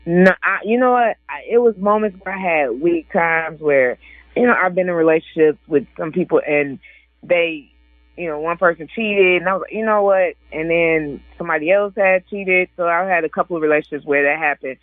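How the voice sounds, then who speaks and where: A woman's voice: on the low side, moderate pace, dry recording. A woman in her forties, an author and guest on a casual radio interview, chatty and laughing often as she quotes other people. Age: 40s. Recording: dry tinny phone line.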